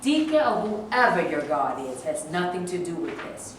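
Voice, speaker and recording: A woman voices a grieving cavewoman widow, furious and defiant. Her voice sits on the low side and carries bitterness. The room echoes heavily and the mic is distant. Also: big pitch swings, normal speed, slight background noise.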